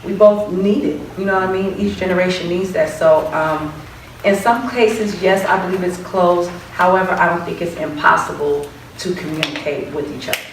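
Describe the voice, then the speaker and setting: A young woman with a lowish, big voice gives an earnest panel answer, pausing on fillers as she builds her point. She is heard from a distance in a strongly echoing hall. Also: fairly even intonation, a little hiss or hum. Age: young adult. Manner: earnest, many fillers and restarts. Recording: distant echoey hall recording.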